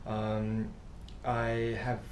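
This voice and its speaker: A middle-aged man from South Africa, an author and travel writer, telling stories in a relaxed interview with lots of fillers. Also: on the low side, drawn-out words. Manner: rambling, warm storytelling with laughs and many fillers. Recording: echoey room with faint noise.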